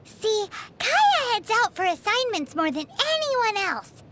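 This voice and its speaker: A woman's voice: very high voice, sing-song, slow, fairly bright tone, heavily acted. A woman voicing a tiny floating female fairy companion who chats cheekily and talks about herself in the third person. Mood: cheeky. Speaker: sidekick companion. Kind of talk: video game character banter.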